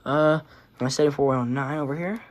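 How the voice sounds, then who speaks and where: A man's voice: a little high, brisk. A man giving a casual, rambling tour of a collection, offhand and mildly profane. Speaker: radio collector showing collection. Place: indoors among working radios. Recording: clear background noise with clicks.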